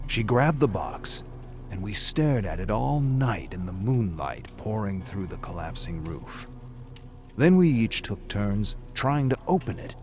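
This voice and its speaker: A man voicing a folksy animal parent who tells rambling first-person yarns in a scripted game story. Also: on the low side. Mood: puzzled, animated.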